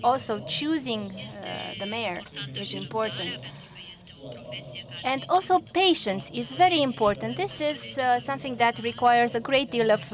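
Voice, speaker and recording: Slow, measured female interpreter. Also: a little high. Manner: measured, careful delivery with pauses. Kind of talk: simultaneous interpreting. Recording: audience crowd noise behind.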